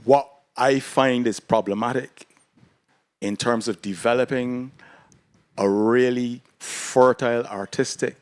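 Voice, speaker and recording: A man from Barbados speaks on a discussion panel, weighing each phrase slowly and deliberately. His voice sits low and the sound is dry and close. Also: dry recording. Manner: slow, deliberate, measured. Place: conference hall panel.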